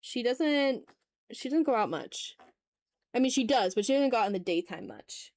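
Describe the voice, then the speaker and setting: A woman with a fairly high voice, recorded very dry and close. She chats informally like a livestream host absorbed in drawing, muttering self-critically to herself and to her viewers. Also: animated, moderate pace, darkish tone, no room sound. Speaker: streamer hosting an art livestream. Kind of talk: casual chat while drawing.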